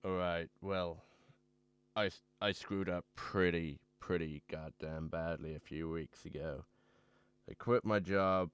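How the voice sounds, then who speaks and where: A man playing a troubled, hard-drinking man who tells his own story in halting, haunted phrases for a radio drama. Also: low-pitched, steady tone, clean tone, no room sound. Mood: remorseful confession. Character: troubled, drunken man confessing his ruin. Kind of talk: dramatic first-person radio drama monologue. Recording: music playing behind the voice.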